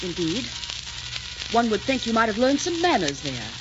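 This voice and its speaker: A woman's voice: fairly high pitch, sing-song, moderate pace. An actress playing a proud, defiant young lady held captive, haughty and cutting, in a 1940s radio romance style. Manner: indignant, cutting, theatrical. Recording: dull 1940s radio recording, clear noise.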